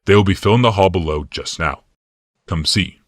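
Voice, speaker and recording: A man voicing a cold, scheming commander in an audiobook, speaking in a measured, quietly sinister and commanding way. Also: low voice, no room sound, studio quality. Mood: calm menace. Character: cold, scheming male commander and healer, smugly menacing. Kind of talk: audiobook character dialogue.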